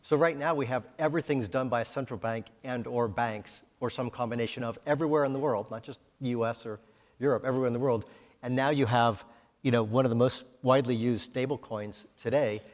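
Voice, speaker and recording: A man's voice: medium pitch, fairly quick. A man moderating a conference panel, talking conversationally and posing rhetorical questions. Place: conference hall. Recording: hall reverb.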